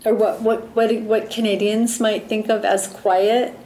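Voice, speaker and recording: Mellow-voiced woman interviewer, echoey room. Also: mid-range voice, little pitch movement, a little slow, slight background noise. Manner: rambling, repeating words while framing questions. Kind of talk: casual interview questions.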